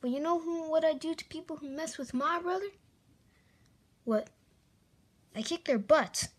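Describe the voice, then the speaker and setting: A woman's voice: high voice, moderate pace, close to an everyday voice. A woman voicing a tough schoolyard bully who protects his brother, blunt and threatening. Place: carpeted bedroom floor.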